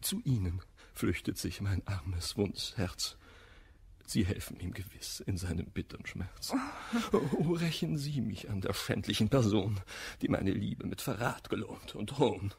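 Jilted, melodramatic gentleman, wildly swinging pitch. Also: expressive, measured pace, vocal fry, breathy edge, heavily acted. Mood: pleading, vengeful. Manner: theatrical, anguished verse declamation. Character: jilted, hot-tempered gentleman lover, wounded and melodramatic. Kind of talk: German radio play of classical verse comedy.

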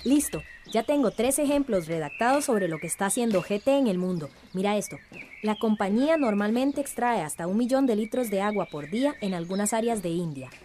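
A woman's voice: medium pitch, animated, a little fast, mellow, slight background noise, lightly acted. A woman playing an earnest, organized village activist in a radio drama, speaking emphatic Central American Spanish. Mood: earnest, urgent.

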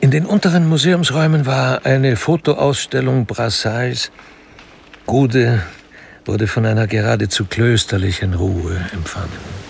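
A man narrating a crime radio drama in a measured, dry, literary manner, detached and wry. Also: medium pitch, gravelly, slight background noise. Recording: music under studio narration. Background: music.

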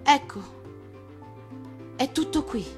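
A woman voicing a tender, wistful little boy in a storybook, earnest and halting, often repeating words. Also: high voice, soft background music, voice pushed up. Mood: tender, worried. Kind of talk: dramatic storybook reading.

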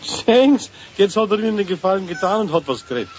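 Animated zoo keeper. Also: male voice, high-pitched, quick pace. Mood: puzzled. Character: puzzled, matter-of-fact male zoo keeper. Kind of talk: German children's radio play acting. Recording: dull, faintly noisy 1970s radio tape.

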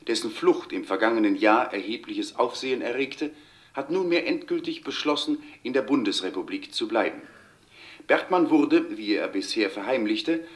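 A man playing a sober television newsreader in a 1970s radio drama, formal and measured, with a standard German accent from Germany. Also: lowish voice, natural voice.

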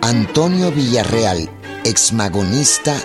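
A Mexican male narrator delivers scripted historical radio narration. His mid-pitched voice moves slowly with animated intonation. Loud music plays underneath.

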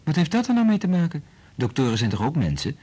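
An actor in a radio drama playing a kindly male doctor, speaking gently and reassuringly as if to a child. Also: fairly high pitch, wildly swooping pitch, quick pace, slightly rough, exaggerated voice. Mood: gentle, reassuring. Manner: gentle, coaxing.

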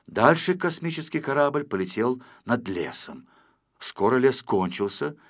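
A man narrating a children's story from a script in an expressive style. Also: mid-range voice, measured pace. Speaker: audiobook narrator.

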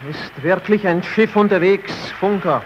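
Worried male polar castaway, high voice, muffled, faintly noisy old radio-drama recording. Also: measured pace. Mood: anxious. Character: anxious male castaway questioning the radio operator. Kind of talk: vintage German radio play acting.

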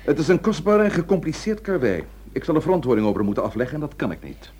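Husky, probing police inspector. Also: male voice, on the high side, big pitch swings, fast talker, a little mellow. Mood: firm, reluctant. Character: probing bachelor police inspector, blunt but courteous. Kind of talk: radio play acting.

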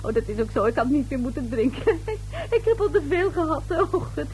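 A woman's voice: sits high, big pitch swings, brisk, a little mellow, slight background noise. An actress playing a tipsy, gossipy neighbour lady in a radio play, chatty, coy and giggly. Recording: old radio drama recording.

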